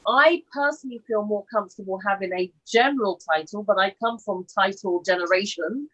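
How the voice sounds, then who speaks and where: A woman on a discussion panel who speaks deliberately and slows down to stress her points. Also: medium pitch, a bit bright, muffled recording.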